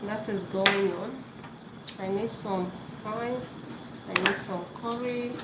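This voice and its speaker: A woman's voice: mid-range voice, slow. A woman whose first language is Yoruba, hosting a live cooking stream and talking casually while she cooks in her home kitchen. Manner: chatty, repeats words, talks while cooking. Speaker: cooking show host. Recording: echoey kitchen with loud noise.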